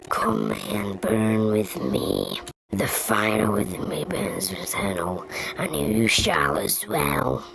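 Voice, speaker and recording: A woman voicing a cruel demonic monster from a horror game, whispery, breathy and ominous. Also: rumbling low, animated, a bit bright, heavily acted, low-quality recording. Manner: ominous, deliberate. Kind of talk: fan recreation of horror game voice lines.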